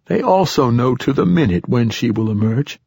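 Man acting an ancient raspy old poet, voice raised. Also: medium pitch, animated, normal speed, a little mellow, voice pushed up. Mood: grave, urgent. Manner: tired, declamatory. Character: ancient, bedridden old poet, insistent prophetic schemer.

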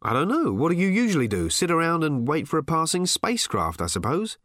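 A man voicing a frazzled, sarcastic middle-aged man who erupts into loud exasperated rants. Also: a little high, wildly swooping pitch, fairly quick, no room sound, studio quality, voice pushed up, exaggerated voice. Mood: mild, vague.

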